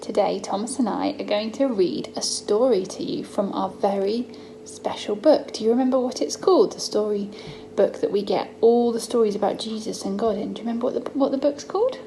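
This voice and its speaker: A young adult woman reading a story to small children in an expressive, drawn-out way, as host of a children's Bible story time. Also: medium pitch, gravelly, poor audio. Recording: noticeable background noise, homemade recording.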